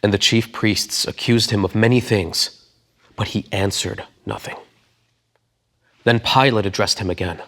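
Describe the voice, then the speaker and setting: A man's voice: fairly low pitch, fairly even intonation, a little slow, long pauses, fairly bright tone. A man giving an earnest, theatrical narration of scripture.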